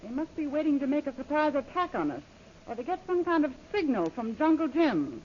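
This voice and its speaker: A woman's voice: high voice, very animated, brisk, a little mellow. An actress playing a hard, scheming female gang boss in an old radio adventure, with a clipped, imperious manner. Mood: commanding. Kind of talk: 1930s radio adventure acting. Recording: muffled, noisy old-time radio recording.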